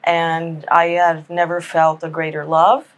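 A woman from Miami, a writer, speaking as a podcast guest in a somewhat low voice. She talks candidly and reflectively, easing into her thoughts with soft fillers. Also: monotone, a little slow, lo-fi sound. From Miami, United States. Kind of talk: candid conversational interview.

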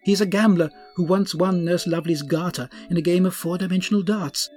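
A man's voice: high voice, fairly even intonation, fairly quick, a little mellow, faint music behind, close to an everyday voice. A man playing a grand, pompous starship captain who speaks in courtly rhymes. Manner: pompous, rhyming, courtly speech.

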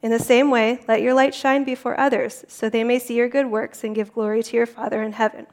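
A woman reading scripture aloud in a calm, careful way. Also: on the high side, brisk. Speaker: volunteer scripture reader.

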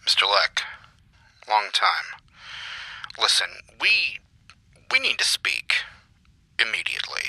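A man playing a secretive caller leaving a grave, insistent voicemail in an audio drama, speaking haltingly. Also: lowish voice, wildly swooping pitch, pushed and bright, dry recording, exaggerated voice, low-quality recording. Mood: urgent, ominous. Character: urgent, secretive, ominous male caller.

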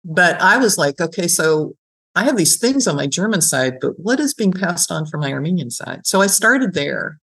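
A woman's voice: on the low side, very animated, moderate pace, a bit bright, husky, low-quality recording. A middle-aged woman talking conversationally as an interviewed academic, explaining reflectively and often checking in with the listener. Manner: reflective, explanatory, checks in with "right?" and "you know". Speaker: gerontology professor being interviewed. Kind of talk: conversational interview, personal storytelling.

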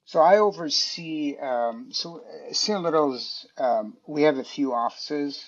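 A man's voice: a little high, slow, muffled recording. A man answering interview questions about his career, hesitant, with many fillers and restarts. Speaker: guest, design director.

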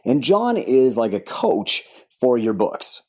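A man's voice: mid-range voice, big pitch swings, slow, a little mellow, husky. A man reading a sponsor message on a podcast with an enthusiastic, emphatic delivery. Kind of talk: sponsor read.

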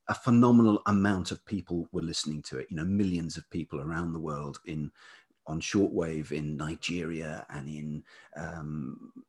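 A middle-aged man from the UK, a writer and producer, telling stories in a relaxed interview, halting with many ums and restarts. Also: deep voice, husky. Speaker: podcast guest, writer and producer.

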